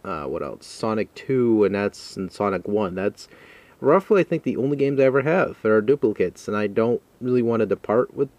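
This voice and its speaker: A man with a fairly low voice talks off the cuff as a video game collector showing things at home. He rambles and hesitates, poking fun at himself as he goes, and the sound is dry with little room echo. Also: lively intonation, moderate pace, darkish tone, dull audio. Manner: rambling, hesitant, self-deprecating. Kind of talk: casual unscripted show-and-tell vlog.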